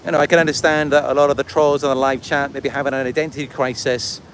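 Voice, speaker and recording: A man from Wales with a Welsh accent, talking casually and a little haltingly in a rambling livestream monologue. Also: medium pitch, level tone, slight background noise. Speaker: livestream host and content creator. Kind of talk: casual livestream monologue, answering viewer comments.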